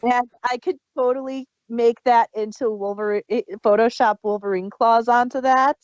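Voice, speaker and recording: A woman's voice: a little high, fairly even intonation, moderate pace, a little mellow, no room sound, lo-fi sound. A woman co-hosting a podcast, talking casually as a fan in discussion. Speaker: podcast co-host.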